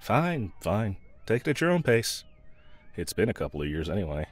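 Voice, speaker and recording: A man's voice: on the low side, big pitch swings, normal speed. A man playing a snarky, pragmatic yet protective mercenary escort in a fantasy audio roleplay, talking to the listener in a wry, teasing, laid-back way. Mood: casual, reassuring.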